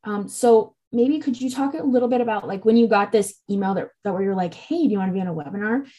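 A woman's voice: mid-range voice, fast, mellow. A woman hosting a public health podcast, speaking in a casual way with a few fillers. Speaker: podcast host.

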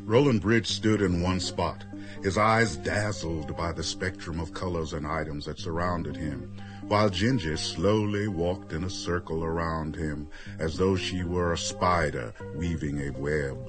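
A man's voice: deep voice, unhurried, music in the background. An actor playing a solemn omniscient storyteller, a man reading scripted narration for a radio drama. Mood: measured.

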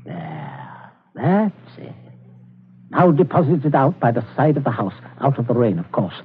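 Coolly menacing genteel British patriarch. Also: male voice, medium pitch, sing-song, unhurried, slightly rough, phone-quality sound, heavily acted. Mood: cold menace. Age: middle-aged. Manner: urbane, unruffled, politely commanding. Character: menacing genteel English patriarch, coolly murderous, falsely hospitable. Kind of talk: old-time radio drama acting. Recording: thin, band-limited old radio, light noise.

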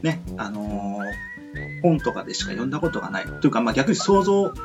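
A man from Kyushu hosting a casual radio chat, polite and wordy, circling haltingly toward his questions. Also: medium pitch, sing-song. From Kyushu, southern Japan. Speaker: radio host. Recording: muffled lo-fi audio with music behind. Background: background music.

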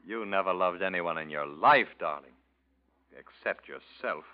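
A man's voice: low voice, unhurried. An actor playing a cynical, scornful young man in an old radio drama, sarcastic and mocking. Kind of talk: 1940s radio acting. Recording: dull old radio recording.

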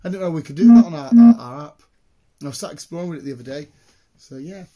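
A British man chatting casually in a rambling, excitable, sweary way, with a slightly croaky voice. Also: fairly high pitch, fast. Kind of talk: casual chat, rambling anecdotes.

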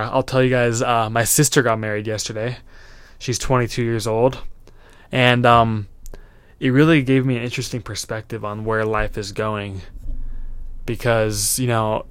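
A man's voice: medium pitch, clear, resonant tone, no echo. A man in his twenties hosting a solo podcast, giving earnest motivational advice in a loose, rambling way with lots of fillers. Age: 20s. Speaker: podcast host.

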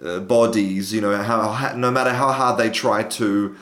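A man interviewing a guest in a relaxed, hedging conversational way. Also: on the low side, moderate pace, echoey room. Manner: easygoing, hedging with "you know" and "sort of".

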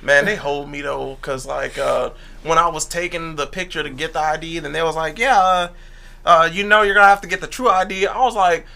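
A man in his twenties chatting casually on a podcast, animated and acting out quotes. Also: a little high, brisk, creaky. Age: 20s. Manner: animated storyteller, acts out quotes. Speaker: podcast guest. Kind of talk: casual chat.

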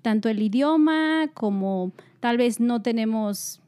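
A woman with a slightly high voice co-hosts a panel talk. She speaks in an upbeat, encouraging way, with bright rises in her voice. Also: expressive, slow pace, clear, resonant tone. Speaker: co-host.

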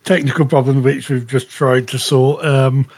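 A man's voice: medium pitch, fairly even intonation, normal speed, a little mellow, breathy edge. A man chatting informally as a panelist on a podcast. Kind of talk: casual chat.

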